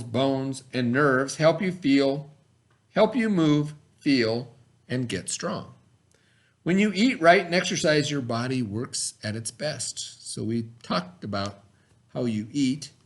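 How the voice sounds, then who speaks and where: A middle-aged man reading a lesson aloud in an instructional way, pausing to explain and repeat key terms. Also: medium pitch. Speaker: teacher reading aloud to children.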